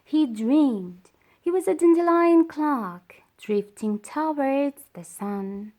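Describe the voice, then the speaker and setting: A woman reading a children's story aloud as storyteller, with deliberate, dramatised phrasing. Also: high-pitched, expressive, warm tone.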